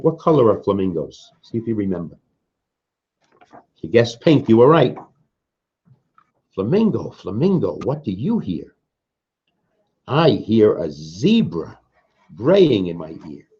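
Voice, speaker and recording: A man with a low, husky voice reads a picture book to young children. He takes his time, swinging into sing-song refrains and pausing to put simple questions to his listeners. The recording is a little dull and lo-fi. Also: darkish tone. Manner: slow, simple explanations for children. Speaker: children's story reader. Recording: dull, muffled lo-fi sound.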